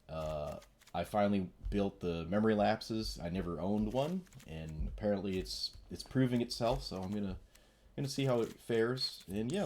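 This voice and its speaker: A man's voice: lowish voice, a little mellow, slight background noise. A man with a stuffy nasal voice from a cold, rambling casually as he streams a card game. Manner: mumbling, rambling, self-deprecating. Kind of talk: casual gameplay commentary.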